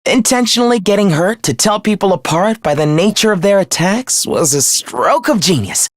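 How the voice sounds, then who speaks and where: A man's voice: high-pitched, animated, normal speed, no echo, clean studio sound. A young man playing a smug, mocking villain in a video game, with a condescending, theatrical delivery. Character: taunting, smug young villain living in someone's head. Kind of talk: video game character acting.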